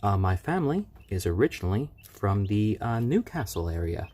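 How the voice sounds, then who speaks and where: A man's voice: fairly low pitch, sing-song, normal speed, darkish tone. A man hosting a casual live stream, chatting easygoingly with viewers and cooing over his baby chicks at home.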